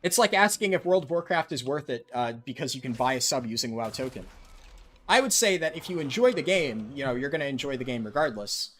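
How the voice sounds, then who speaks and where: A man's voice: medium pitch, animated, brisk, fairly bright tone. A man live-streaming a game, chatting with viewers in a casual way with lots of ums and likes. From United States. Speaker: livestreaming gamer.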